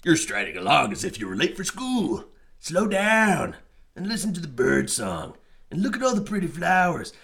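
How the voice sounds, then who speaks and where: Big bad wolf: deep, growling, boastful schemer with a gravelly edge, played by a man. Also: sing-song, normal speed, fairly bright tone, exaggerated voice. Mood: sly.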